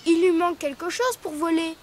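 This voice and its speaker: A woman's voice: very high-pitched, a little fast, over loud music. A woman voicing an enthusiastic little boy hero in a children's drawing cartoon, eager and encouraging as a patient explainer.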